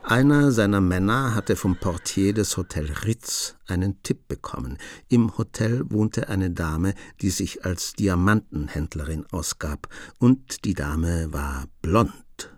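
A man narrating a radio play in a measured, storytelling manner. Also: low voice, dry recording, clean studio sound.

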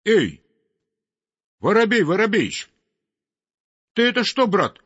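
A man voicing a cheerful, easygoing chimney sweep who calls out loudly in a storybook reading. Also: high-pitched, very animated, slow, breathy edge, in a large hall, dull audio, pitched above the performer's own voice, heavily acted. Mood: calling. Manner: calling out loudly.

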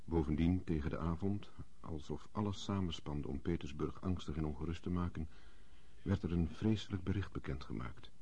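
An actor playing the sober narrator of a historical radio drama, with a husky voice and scripted delivery. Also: male voice, extremely low pitch, normal speed, warm tone. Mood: grave.

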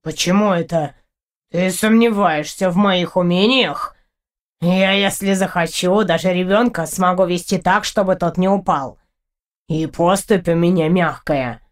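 A big talking wild tomcat acted out by a woman narrator, low for the reader and full of swagger. He grumbles and boasts like a proud fantasy storybook beast. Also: measured pace, lightly acted. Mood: offended, insistent. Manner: grumbling, cocky.

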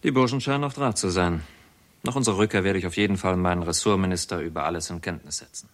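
A senior astronomer with a lowish voice and a measured, considered delivery. He is sceptical and formal, a dignified figure from a sixties radio play. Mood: formal, firm. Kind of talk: 1960s German radio drama acting.

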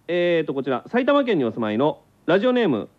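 Man, Kansai accent, radio host, high voice, very animated intonation, blunt teasing banter, dull lo-fi sound. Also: a little fast. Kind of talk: casual comic banter. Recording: muffled lo-fi radio recording.

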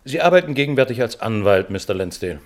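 A man's voice: mid-range voice, animated, quick pace, natural voice. An actor playing a courteous, businesslike chairman who runs a hearing in a radio play. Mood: official. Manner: official, orderly, courteous. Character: formal, businesslike male chairman of a security hearing.

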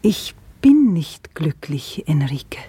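An actress playing a young widow who speaks slowly and often in a whisper, in a radio play. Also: female voice, deep voice, very animated, breathy edge, dry recording, exaggerated voice. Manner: slow, hushed, often whispering. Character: Ines, young widow, estranged, bitter. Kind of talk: radio drama acting.

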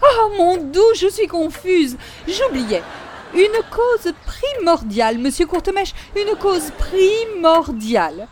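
Very shrill, gushing prim lady. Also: big pitch swings, slow pace, darkish tone, slight background noise, full character voice. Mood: earnest, fussy. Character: effusive, easily awed, high-voiced neighbour lady. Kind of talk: 1940s radio comedy acting.

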